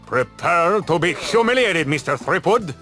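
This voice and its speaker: An actor playing a boastful, pompous champion diver in a video game, haughty, theatrical and condescending. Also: male voice, a little high, lively intonation, a little slow, bright, forceful tone, faint music behind.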